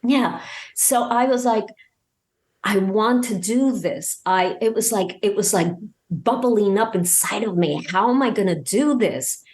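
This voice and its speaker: A woman talking casually as an interview guest, excited and halting, often repeating words as she goes. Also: medium pitch. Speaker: podcast guest, audio drama creator.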